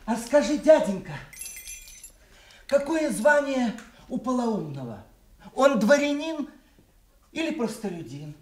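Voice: medium pitch, very animated, slow pace, long pauses, a little mellow. An actor playing a nimble, mocking, anxious court fool in a Soviet radio drama, teasing and exclamatory. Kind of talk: classical Soviet stage acting for radio. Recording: echoey, noisy Soviet radio theatre recording.

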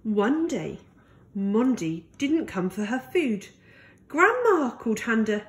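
A woman's voice: medium pitch, sing-song, slow pace, a little mellow. A woman narrating a children's picture book aloud as a storyteller.

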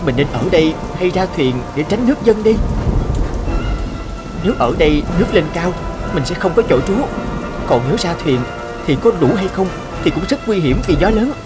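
Fairy-tale cartoon fisherman: young, earnest, kind, highish and very lively. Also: moderate pace, loud music behind the voice. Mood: worried, deliberating. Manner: expressive, earnest. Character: kind, hardworking young fisherman-farmer, anxious but steady. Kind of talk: animated fairy-tale dubbing.

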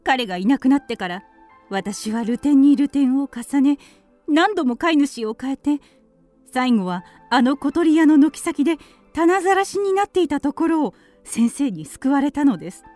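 A high, lively female voice as an earnest little canary in a radio play. She tells her story politely and wistfully, with wide swings of pitch. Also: moderate pace, soft background music. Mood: yearning. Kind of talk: audio drama storytelling.

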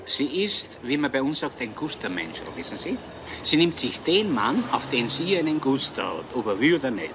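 An actor playing a genial, talkative middle-aged police inspector with a Viennese accent in a radio crime drama. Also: male voice, medium pitch, normal speed, close to an everyday voice. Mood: chatty. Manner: chatty, easygoing, lively. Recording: hissy old radio recording.

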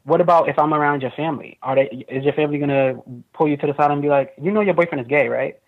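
Young man on a tinny call line. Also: fast talker. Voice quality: relatively high voice. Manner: thoughtful, frequent fillers, laughs easily. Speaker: interview guest. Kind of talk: casual conversation. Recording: tinny phone-like video call audio.

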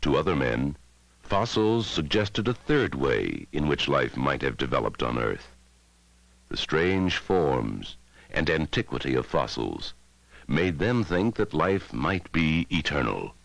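A man narrating a scripted educational film in a measured, dramatic way, on a 1960s film soundtrack. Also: very deep voice, sing-song, creaky, fairly bright tone, no room sound, low-quality recording. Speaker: documentary narrator.

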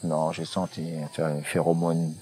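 Low-voiced guy outdoors in the jungle, slangy and jokey, gets all worked up. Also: level tone, moderate pace, dark tone. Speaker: reality show contestant. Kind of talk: casual banter and confessional.

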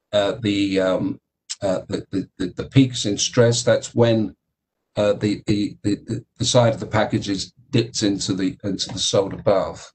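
A man presents engineering research at a conference. He talks steadily through his slides but stumbles often, repeating words and filling pauses. His voice sits in an ordinary middle pitch. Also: darkish tone. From United Kingdom. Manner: halting, many fillers and repeated words. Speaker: keynote speaker, engineering professor.

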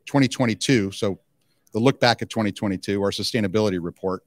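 A man's voice: fairly low pitch, fast, dry recording. A middle-aged man speaking conversationally as an executive being interviewed, close on a handheld microphone. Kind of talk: conversational trade-show interview. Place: indoor interview booth at a conference.

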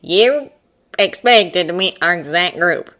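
Storybook Texan airman, performed by a woman: folksy, courteous, quick to argue, lifted animated delivery. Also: mid-range voice, voice pushed up. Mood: puzzled, insistent. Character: plain-spoken, polite, argumentative Texan man, an aeronaut.

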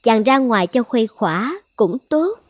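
A woman's voice: a little high, very animated, normal speed, no echo. A woman voicing a possessive, lovesick sorceress princess in a cartoon fairy tale, commanding and coaxing with an edge of menace. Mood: wary, relenting.

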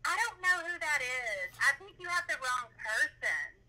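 High, very sharp woman on the telephone. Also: very animated, normal speed, slight background noise, rough recording. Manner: questioning, skeptical. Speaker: prank call recipient. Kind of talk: casual phone conversation. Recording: voice over a phone speaker.

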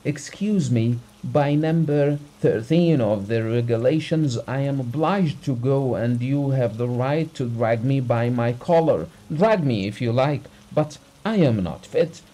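A man's voice: mid-range voice, very animated, measured pace, few pauses, mellow, husky, rough recording. A man voicing a grief-stricken, exhausted doctor in a story, refusing emphatically and irritably, with alarm in his manner.

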